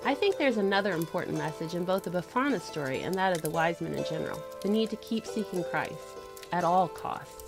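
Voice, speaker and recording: A woman's voice: on the low side, expressive, brisk, gravelly. Patient, explaining woman over music. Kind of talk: audio drama acting. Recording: music under the voice.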